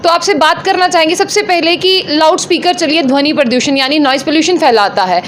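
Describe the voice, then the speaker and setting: A woman's voice: sits high, fairly quick, fairly bright tone, a little hiss or hum. A woman working as a news reporter, putting wordy interview questions that recap before they ask.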